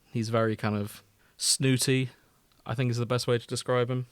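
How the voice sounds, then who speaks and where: A man chats casually as a co-host, with a teasing edge to his delivery. His pitch sits in a middle range, and the sound is dry and close. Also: little pitch movement, moderate pace, smooth, no echo. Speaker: podcast co-host. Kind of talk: casual chat.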